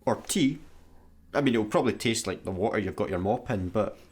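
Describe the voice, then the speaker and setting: A man with a Scottish accent playing an impulsive, excitable and awkwardly apologetic layabout in a sci-fi comedy radio play. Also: on the low side, a little fast, breathy edge. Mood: sheepish.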